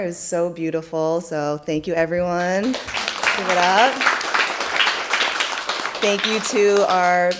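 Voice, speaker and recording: A woman hosting a community event, thanking the audience and panelists warmly with many fillers. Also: on the low side, a little hiss or hum. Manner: effusive, grateful, many fillers. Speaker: event host. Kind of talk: closing remarks at a community event. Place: indoor event space with audience.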